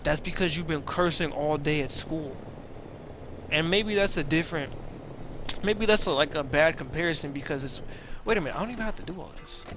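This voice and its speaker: A man's voice: a little high, fairly quick, noisy background. A man of about twenty talking casually and profanely over gameplay, rambling with many restarts and slang. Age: 20s. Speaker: gaming video creator.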